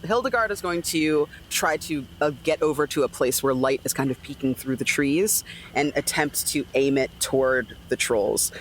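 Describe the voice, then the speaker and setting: A man's voice: a little high, moderate pace, slight background noise, low-quality recording. A man playing a tabletop game, casually narrating what his character does.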